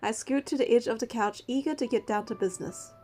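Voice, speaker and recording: An earnest young heroine of a story game, acted by a woman, with a fairly high, softly mellow voice. She speaks and narrates as a determined hunter, with music underneath. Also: fast talker, natural voice. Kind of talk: scripted game dialogue and first-person narration.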